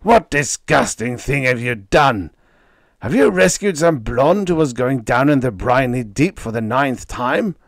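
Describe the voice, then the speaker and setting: A man's voice: mid-range voice, expressive, measured pace, creaky, dry recording, voice pushed up. A man voicing a blustering, hot-tempered wrestling manager who screeches and howls his questions, in a dry close recording. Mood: outraged. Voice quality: screeching, howling.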